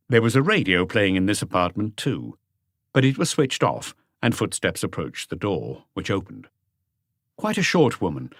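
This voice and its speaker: Guy reading a novel, lowish voice, measured storytelling, moves around a lot in pitch, very dry sound. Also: a bit bright, no echo. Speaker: audiobook narrator. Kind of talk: scripted literary narration.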